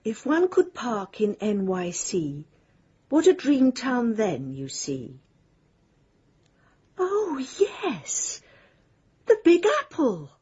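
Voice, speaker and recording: A woman's voice: a little high, very animated, dark tone, slightly rough, dull audio, exaggerated voice. An actress playing a musing woman in a radio drama, pondering her words as she goes. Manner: slow, pondering delivery.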